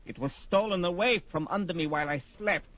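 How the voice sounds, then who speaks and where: An actor playing an old, boastful, easily indignant sorcerer in a theatrical, emphatic manner on an audio drama record. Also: male voice, sits high, a little fast, a bit bright. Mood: indignant, aggrieved. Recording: dull vintage story record.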